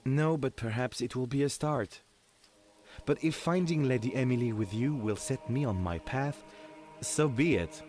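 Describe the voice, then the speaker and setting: A man's voice: mid-range voice, normal speed, soft background music, close to an everyday voice. A man playing a stern, pious young medieval archer in an audiobook drama, speaking formally and without emotion. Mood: stoic, blunt. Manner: solemn, flat, formal. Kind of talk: audiobook character acting.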